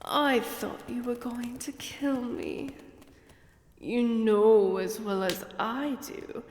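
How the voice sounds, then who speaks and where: A woman acts out an imperious vampire countess who toys with her prey in an audio drama. Her higher voice takes its time over every taunt, echoing as if in a great hall. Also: very animated, darkish tone, heavily acted. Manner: slow, deliberate, taunting. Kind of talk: dramatic audio roleplay acting. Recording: echoey hall reverb with music underneath.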